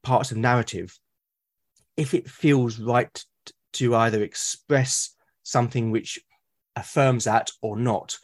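Man from Britain, podcast guest, husky, mid-pitched, enthusiastic, rambling. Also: fairly even intonation, slow pace, pauses often. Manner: enthusiastic, rambling with many restarts. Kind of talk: casual podcast conversation.

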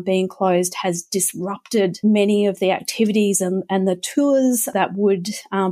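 A woman with a slightly croaky voice, speaking carefully and articulately as a panel guest. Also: medium pitch, dark tone. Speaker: panel guest, arts think tank executive. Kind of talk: considered panel discussion.

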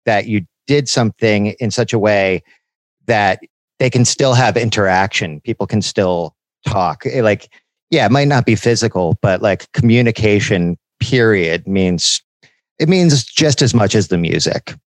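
A man's voice: lowish voice, lively intonation, unhurried, no room sound, poor audio. A man co-hosting a podcast, speaking in a casual, appreciative way. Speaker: podcast co-host.

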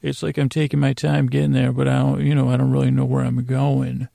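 A man hosting a bedtime podcast in a meandering monologue, mumbling and stumbling with long pauses. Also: medium pitch, completely flat delivery, creaky, mellow, no room sound, studio quality. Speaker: podcast host.

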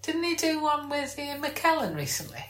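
A woman's voice: sits high, big pitch swings, normal speed, a bit bright. A British woman chatting casually as a podcast co-host. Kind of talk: casual chat. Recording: echoey room.

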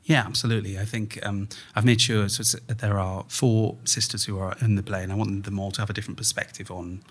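A man's voice: on the low side, flat delivery, fast talker. A man speaking as an interviewed playwright, explaining his thinking in a reflective, conversational way.

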